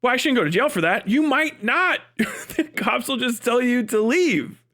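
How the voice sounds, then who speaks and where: A man's voice: extremely high pitch, expressive, normal speed, sharp tone. A man giving an unscripted livestream commentary rant in a blunt, exasperated and incredulous manner. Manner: exasperated, incredulous, often laughing mid-sentence; sweary, blunt. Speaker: live streamer.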